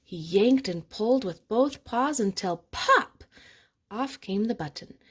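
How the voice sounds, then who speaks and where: Woman, children's read-aloud narrator, expressive storytelling, medium pitch, slightly breathy husky tone. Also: slow pace. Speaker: storybook reader.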